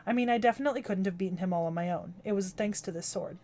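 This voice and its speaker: A cheerful, eager young swordswoman, voiced by a woman reading a game aloud, in a bubbly, chatty voice at ordinary pitch. She talks quickly and brightly about what excites her. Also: faint music behind, natural voice. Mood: earnest. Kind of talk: reading a game's story aloud on stream.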